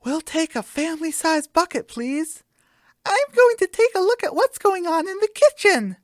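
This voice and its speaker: A woman voicing an excitable, easily shocked chicken in a radio comedy sketch, exclaiming melodramatically. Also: extremely high pitch, normal speed, no echo, clean studio sound, voice pushed up. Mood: eager.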